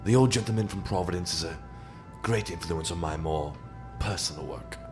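A man acting a low-voiced, courtly, mysterious artist. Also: a little mellow. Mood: suave, welcoming. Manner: formal, courteous, deliberate. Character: courtly, mysterious painter of macabre art. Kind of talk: dramatic radio play acting. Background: music.

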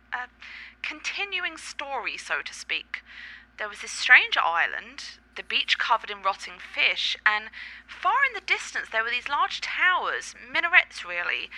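Woman playing an affectionate girlfriend in radio drama, very bright crisp tone, lively swooping pitch, articulate, cultured. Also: exaggerated voice, low-quality recording. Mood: dreamy, puzzled. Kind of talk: radio play acting.

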